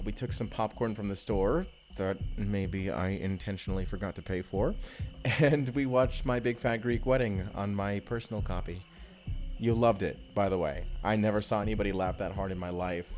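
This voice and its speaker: A man's voice: lowish voice, moderate pace, darkish tone, lightly acted. A man playing an earnest, love-struck young husband stranded back in time, speaking tenderly and conversationally in an intimate audio roleplay. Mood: fond, nostalgic. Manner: conversational, tender, chuckling storyteller.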